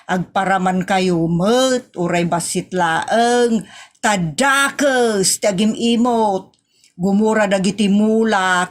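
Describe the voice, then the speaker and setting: A woman's voice: mid-range voice, natural voice. A woman with an Ilocano accent playing a nagging, outspoken village woman in a comedy audio drama, drawn out and exclamatory.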